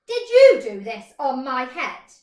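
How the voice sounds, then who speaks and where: A woman voicing an indignant, short-sighted little male mole from a children's storybook, asking in a loud, accusing way in a roomy space. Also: sits high, very animated, slow pace, full character voice.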